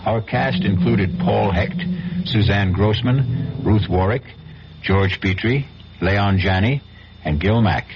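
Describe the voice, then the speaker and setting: Low-voiced male radio drama narrator. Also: slow, heavy background noise. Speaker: host and narrator. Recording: dull lo-fi radio recording.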